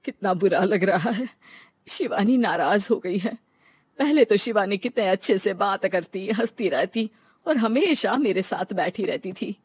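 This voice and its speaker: A woman's voice: medium pitch, lively intonation, unhurried, darkish tone, husky, dry recording. A woman playing an older, homely mother-in-law in a story, fussing and easily hurt, on a dull recording.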